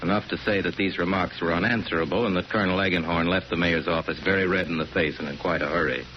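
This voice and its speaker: A man narrating a 1940s radio drama as a wry, urbane storyteller with a measured, deliberate delivery. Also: deep voice, fairly even intonation, lightly acted. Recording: muffled, hissy 1940s radio broadcast.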